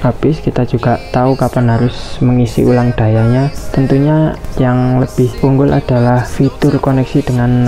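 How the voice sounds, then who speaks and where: A man's voice: medium pitch, dark tone. A man giving a casual product review in an informal, explanatory way. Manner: informal, explanatory, frequent tag words.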